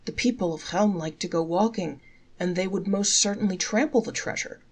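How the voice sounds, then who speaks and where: A woman reading folktales aloud in a measured, articulate storybook narration. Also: lowish voice, breathy edge.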